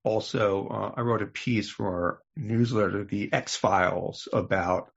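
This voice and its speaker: Husky, creaky male interviewer. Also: fairly low pitch, steady tone, a little slow. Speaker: podcast interviewer. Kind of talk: conversational interview. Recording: slightly muffled, dull audio.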